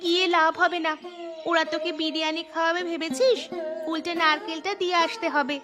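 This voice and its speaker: Woman doing a cheeky big sister village girl, really high voice, bossy cartoon kid, music behind. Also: steady tone, fairly quick. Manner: bossy, protesting. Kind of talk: cartoon story dialogue.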